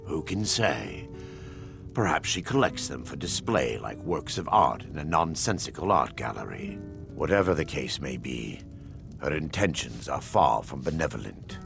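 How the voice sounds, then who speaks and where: An actor playing a grave, devout priest in a fantasy game, speaking in a measured, ominous, formal way. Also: male voice, a bass voice, very animated, vocal fry, music in the background, full character voice. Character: grave, devout priest guiding a younger man. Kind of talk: fantasy game character dialogue.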